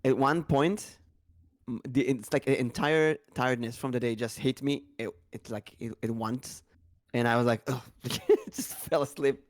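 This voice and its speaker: A man hosting a casual podcast, talking in a chatty, rambling way with a slightly tired, raspy voice. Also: medium pitch, steady tone, moderate pace, no room sound, clean studio sound. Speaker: podcast host.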